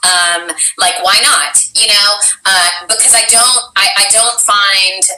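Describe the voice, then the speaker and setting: Woman, deep voice, rambling chat, roomy echo, distant mic. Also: a little slow, a little hiss or hum. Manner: animated, rambling with many fillers. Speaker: voice actress and teacher. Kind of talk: casual interview chat.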